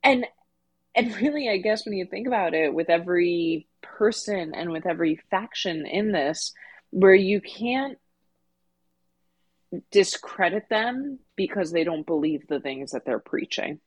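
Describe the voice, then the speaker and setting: Female co-host, slightly low register, casual fan-podcast discussion, opinionated with animated emphasis. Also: measured pace, husky.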